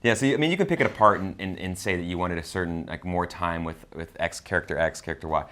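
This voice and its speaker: A man's voice: low voice, fast, a bit bright. A man co-hosting a casual film discussion, enthusiastic and slangy in the way he talks. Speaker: co-host of a movie review show.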